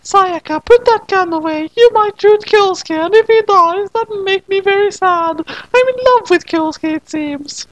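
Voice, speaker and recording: A man voicing a polite, timid, lovesick teenage girl in a fan story, slow and prim. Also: very high-pitched, no room sound, voice pushed up, low-quality recording. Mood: prim worry.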